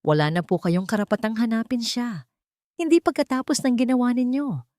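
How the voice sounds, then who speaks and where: A woman voicing an older housemaid who is calm, polite and quietly defiant in a narrated audio drama. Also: on the high side, a little fast, no echo, studio quality, voice pushed up, natural voice. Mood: firm reproach. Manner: quietly firm, respectful.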